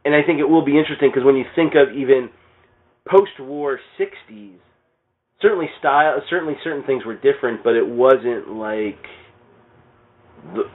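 A man's voice: medium pitch, normal speed, pauses often. A man in a casual comics discussion, talking in a rambling way and often saying "you know.". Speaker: podcast guest. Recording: tinny phone-like audio.

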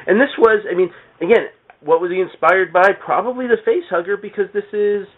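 A man with a fairly high voice talks casually, as a guest in an informal chat. He riffs freely and likes to act out what someone might have been thinking. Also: a little fast, narrow, tinny audio. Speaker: podcast guest. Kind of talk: casual comic-book chat.

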